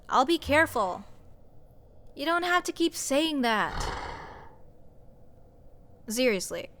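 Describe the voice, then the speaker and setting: A woman's voice: high-pitched, a bit bright, a little hiss or hum, full character voice. A woman playing a snarky, exasperated sorceress in a fantasy audio roleplay, talking to the listener in a sarcastic, emphatic, conversational way. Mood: annoyed. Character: snarky young sorceress, exasperated, scoffing, nitpicking adventurer. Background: fantasy dungeon ambience.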